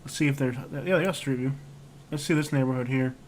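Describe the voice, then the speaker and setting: A man's voice: medium pitch, fast, darkish tone, a little hiss or hum. A man hosting a casual livestream, rambling and chatting loosely with lots of filler words while he browses and reacts. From United States. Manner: rambling, heavy on fillers like "like" and "you know". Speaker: livestream host. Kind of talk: casual live-stream chat while browsing street views.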